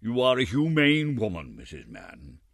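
A man's voice: mid-range voice, huge pitch swings, normal speed, creaky, fairly bright tone, no echo, clean studio sound, pitched above the performer's own voice, exaggerated voice. A man voicing a pompous, choleric, fat parish beadle with a self-important, lecturing manner. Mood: condescending.

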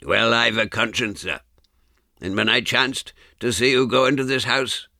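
A man voicing a wizened old book collector in a storybook, croaking, obsequious and rambling in a deferential way. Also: medium pitch, normal speed, bright, forceful tone, dry recording, clean studio sound, voice pushed up. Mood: apologetic, humble.

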